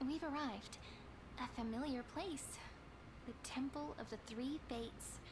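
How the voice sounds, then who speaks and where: A woman's voice: high voice, a little slow. A woman playing a poised, playful companion and storyteller in a video game, recorded with noise behind. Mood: composed. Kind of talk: game story dialogue and narration.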